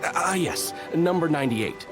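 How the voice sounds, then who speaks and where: An actor playing a dutiful, by-the-book soldier in a video game, speaking formally and officiously. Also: male voice, fairly high pitch, lively intonation, normal speed, lightly acted. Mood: helpful. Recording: music playing clearly underneath.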